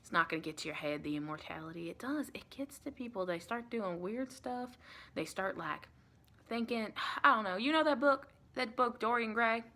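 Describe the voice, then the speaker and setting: Creaky-voiced American woman as a sweet vampire. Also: fairly high pitch, animated, moderate pace, sharp tone. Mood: chatty, reassuring. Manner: chatty, rambling, reassuring, calls listener sweetie. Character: sweet, reassuring female vampire welcoming a new recruit. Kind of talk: ASMR roleplay.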